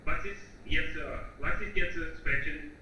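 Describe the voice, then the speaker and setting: A man's voice: fairly high pitch, unhurried, pushed and bright. A man from Gujarat, a new learner joining a video call, speaking very hesitantly with many restarts and fillers as he searches for words. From Gujarat, India. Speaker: new participant, language learner. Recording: echoey, distant from the mic, faint noise.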